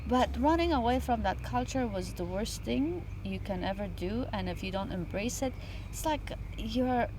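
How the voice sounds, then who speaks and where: A woman's voice: a little high, lively intonation, moderate pace, noisy background. A woman from Afghanistan, hosting a talk show outdoors and speaking earnestly, often repeating her phrases for emphasis. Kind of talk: heartfelt interview talk. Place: outdoors in a botanical garden.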